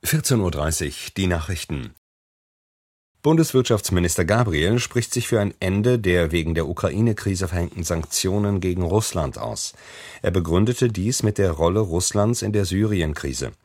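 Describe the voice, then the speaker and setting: A man reading the hourly radio news in a formal, even manner. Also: deep voice, moderate pace, no echo. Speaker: newsreader.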